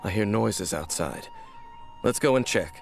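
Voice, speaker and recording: An actor playing a grave, aloof, centuries-old male wanderer in a video game, speaking in a measured, formal, deadpan way. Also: lowish voice, gravelly, faint music behind. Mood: pensive.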